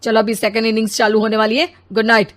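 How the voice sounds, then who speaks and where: Woman playing a grumpy husband, highish voice. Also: monotone, fast, lightly acted. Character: grumpy, demanding husband and father, Amar. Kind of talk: animated Hindi family story dialogue.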